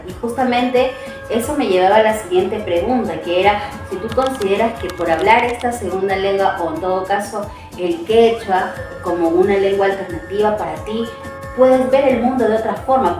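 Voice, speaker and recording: Female interviewer, measured and polite, far off with roomy echo, faint music. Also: medium pitch. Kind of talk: asking interview questions. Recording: very echoey room, far from mic, faint music.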